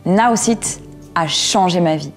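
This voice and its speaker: A woman's voice: on the low side, slow pace. A woman in her thirties delivering a promotional testimonial as an entrepreneur. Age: 30s. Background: music.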